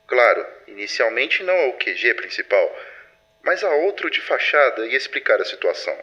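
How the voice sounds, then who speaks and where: A man playing the composed superintendent of a secret supernatural patrol, gravely narrating case files in a scripted audio drama. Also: mid-range voice, sharp tone, dull audio.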